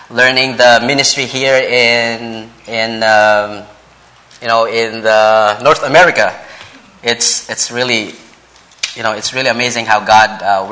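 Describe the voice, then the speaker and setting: Male church speaker, thirties, Filipino accent, non-native English. Unhurried, halting delivery with a sharp, bright tone. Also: medium pitch. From Philippines. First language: a Philippine language. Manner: halting, frequent pauses and repeated words, polite. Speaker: visiting preacher, Bible college vice president. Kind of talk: church sermon introduction and scripture reading.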